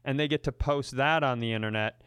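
A man hosting a comedy podcast with a smooth, clear voice and a very dry, close sound. He talks casually in rambling, profane riffs and stories, at an ordinary middle pitch. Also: a little fast, fairly bright tone, dry recording. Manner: rambling, riffing, profane storytelling.

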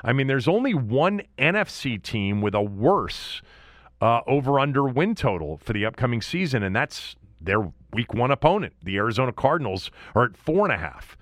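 A man hosting a sports show alone, talking casually and conversationally in halting phrases with asides and repetition. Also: mid-range voice, very animated, fairly bright tone, dry recording, studio quality. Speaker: sports podcast host. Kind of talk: casual sports commentary monologue.

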